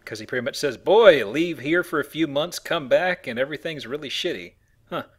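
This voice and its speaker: A man's voice: on the high side, expressive, fairly quick, breathy edge. A man giving casual, joking video game commentary with playful delivery. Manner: jokey, playful exclamations. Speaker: let's play commentator.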